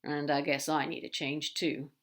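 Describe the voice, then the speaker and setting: A sly male demon with a lowish, easygoing voice, read out by a woman. He talks with a loose, casual drawl, fond and teasing in this storybook reading. Nothing about him is showy. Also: little pitch movement, normal speed, a bit bright.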